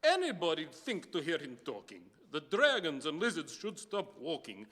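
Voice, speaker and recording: A man's voice: on the high side, huge pitch swings, moderate pace, fairly bright tone, full character voice. A man playing a pompous, scornful tyrant demon, declaiming sneering rhymed lines in a radio play. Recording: reverberant hall recording.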